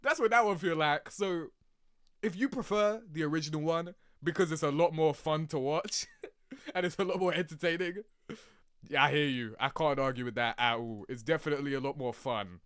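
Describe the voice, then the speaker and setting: A man's voice: a little high, moderate pace, fairly bright tone, clean tone, dry recording, clean studio sound. A young man giving casual, slangy reaction commentary as a gaming host, with swearing and verbal tags. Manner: slangy, swearing, repeats "you know what I mean".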